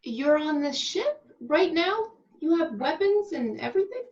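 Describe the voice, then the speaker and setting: A high, animated voice of a skeptical actress, read by a woman. She is polite and hesitant, testing what she is told. The sound is a little muffled, like a cheap remote mic. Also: unhurried. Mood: hesitant, curious. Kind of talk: amateur table read of a film script.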